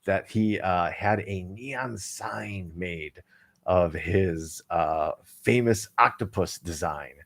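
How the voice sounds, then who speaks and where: A man's voice: low-pitched, takes it slowly, gravelly. A man co-hosting a casual podcast chat, rambling with many fillers and restarts. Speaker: co-host.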